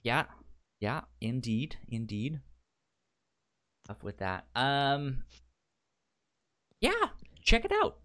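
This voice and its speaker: A man's voice: mid-range voice, sing-song, drawn-out words, long pauses, a bit bright. A man co-hosting a podcast in casual review chat, stuttering as he repeats sounds and words. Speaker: podcast co-host.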